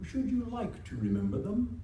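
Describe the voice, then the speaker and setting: A man plays a weary, principled, kindly sorcerer in a radio play. The voice is very dark and swings widely in pitch, coming from off the mic in a roomy space. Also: wildly swooping pitch, moderate pace. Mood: probing. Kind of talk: staged radio theatre dialogue. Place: indoor convention performance before microphones. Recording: echoey live room, distant mic, faint noise.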